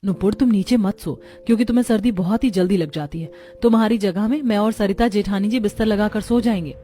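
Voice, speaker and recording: A woman performing family dialogue for an animated story. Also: mid-range voice, brisk, slight background noise. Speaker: voice of the women of a family. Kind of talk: acted Hindi cartoon story dialogue.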